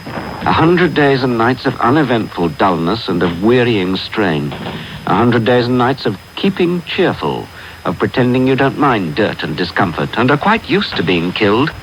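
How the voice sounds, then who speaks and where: An actor playing a war-weary soldier, reading a wartime diary on radio. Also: male voice, medium pitch, very animated, normal speed. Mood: weary, bitter. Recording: noisy medium-wave radio recording.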